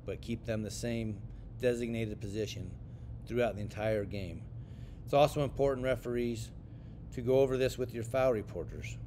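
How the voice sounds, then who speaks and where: Low-pitched American man giving training narration. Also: darkish tone. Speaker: football officials' trainer. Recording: clear rumbling background noise.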